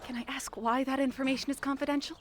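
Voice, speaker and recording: A woman's voice: high-pitched, flat delivery, fast, lightly acted. A woman playing an inquisitive, easily alarmed young reporter in an audio fiction podcast, exclamatory and full of questions. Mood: exasperated.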